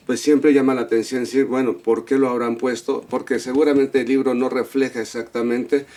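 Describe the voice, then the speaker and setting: A man's voice: medium pitch, flat delivery, brisk, dark tone. A man co-hosting a tarot channel interview, speaking casually and rambling with repeated words.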